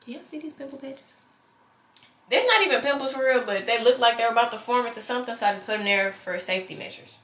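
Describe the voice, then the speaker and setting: A woman's voice: on the high side, fast talker, fairly bright tone. A teenage girl, vlogging in a casual, rambling way, with a stuffy, congested nose. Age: teens. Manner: chatty and candid, rambling asides. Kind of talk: casual vlog chat.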